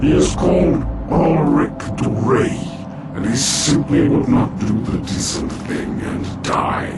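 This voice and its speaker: An actor playing a scheming aristocratic villain in a fantasy game, gravelly, measured and haughty, with dry formal diction. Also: male voice, mid-range voice. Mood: haughty, wry. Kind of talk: theatrical fantasy game cutscene acting. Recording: very noisy game cutscene audio.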